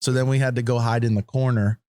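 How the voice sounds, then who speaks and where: A man's voice: medium pitch, level tone, quick pace, darkish tone, clean tone, dry recording, clean studio sound. A man co-hosting a podcast, chatting with enthusiasm. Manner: enthusiastic, laughing along. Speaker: podcast co-host. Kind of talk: casual chat.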